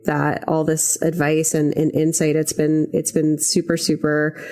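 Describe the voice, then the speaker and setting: A woman's voice: sits low, monotone, measured pace, mellow. A woman hosting a podcast, thanking her guest and closing the episode in an upbeat way. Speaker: podcast host. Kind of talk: podcast interview and outro.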